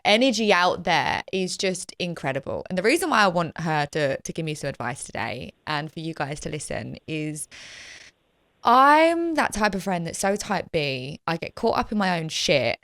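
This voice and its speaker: A woman's voice: fairly low pitch, moderate pace, no echo. Chatty young woman hosting a podcast. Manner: chatty, animated, self-deprecating. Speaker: podcast host. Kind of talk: casual chat.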